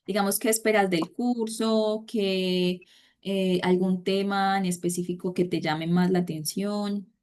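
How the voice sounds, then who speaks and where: A woman's voice: medium pitch, steady tone, a little slow, darkish tone, clear, resonant tone. A woman teaching an online class, talking conversationally with many fillers and tag questions. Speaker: course instructor.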